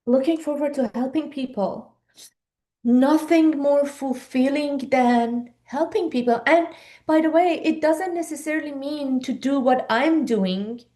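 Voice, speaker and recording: Woman coach, 50s, somewhat high, mellow voice, urging. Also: a little slow. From Iran. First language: Persian. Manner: earnest, urging, rhetorical questions. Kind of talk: live online coaching talk.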